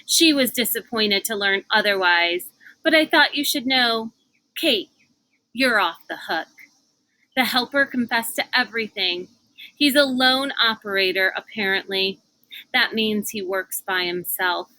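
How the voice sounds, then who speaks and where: Woman acting a brash girl bully, storybook character, gloating and chatty, sharp bright voice, unhurried. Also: mid-range voice, dry recording, rough recording. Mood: smug, gossipy. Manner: brash, chatty, self-satisfied. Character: smug, gossipy older girl bully, belching and gloating.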